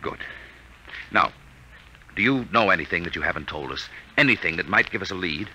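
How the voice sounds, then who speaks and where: An actor playing a hard-driving American army major, blunt and insistent, in 1950s radio drama style. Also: male voice, deep voice, expressive, brisk, bright, forceful tone, full character voice. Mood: brisk. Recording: noisy old 1950 radio recording.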